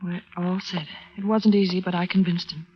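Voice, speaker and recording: A woman's voice: fairly low pitch, fairly even intonation, normal speed, lightly acted. A woman playing an anxious, devoted wife plotting with her lover in a vintage radio melodrama, earnest and breathless. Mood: yearning, anxious. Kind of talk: 1940s-1950s radio drama acting, melodrama. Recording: muffled vintage radio recording, slight noise.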